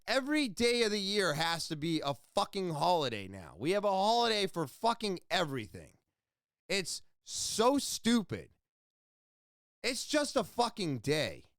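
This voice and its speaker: A man speaks slowly into a close mic on his own podcast, earnest and rambling, with blunt, profane asides. His voice is smooth and resonant, a little high for a man and lively in pitch. The sound is dry, with no room around it. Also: dry recording. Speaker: podcast host. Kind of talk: casual solo podcast monologue.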